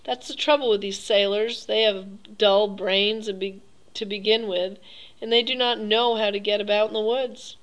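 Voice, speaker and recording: A woman's voice: mid-range voice, steady tone, natural voice, poor audio. Woman voicing suave, cruel Cossack general. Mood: genial. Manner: silky, courteous, drawn-out phrasing. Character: suave, cruel aristocratic male Cossack hunter.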